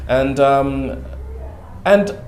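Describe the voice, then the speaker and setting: A man who speaks natively, a guest in a casual interview chat, talking haltingly in English with lots of fillers and repeated words. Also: mid-range voice, a little mellow. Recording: echoey room.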